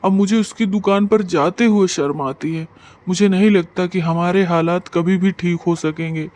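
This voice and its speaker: A man's voice: sits high, fairly even intonation, normal speed, darkish tone, natural voice. A man playing a poor, despairing village blacksmith and father in a dramatized story, lamenting sorrowfully. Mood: dejected, ashamed. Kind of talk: dramatized Hindi story narration.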